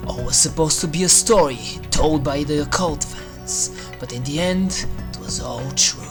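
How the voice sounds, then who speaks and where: Mid-pitched narrator doing a scripted review, kinda theatrical and dry, spooky music playing behind. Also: normal speed. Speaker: video host, reviewer. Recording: music under the voice. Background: eerie game soundtrack music.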